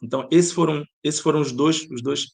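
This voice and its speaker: A man's voice: on the high side, brisk, vocal fry, husky. A man from Bahia with an accent mixing Bahian and European Portuguese, a playwright and director thoughtfully answering interview questions, often restarting his sentences. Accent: Bahian Portuguese mixed with European Portuguese. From Bahia, Brazil. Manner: thoughtful, searching for words with many restarts.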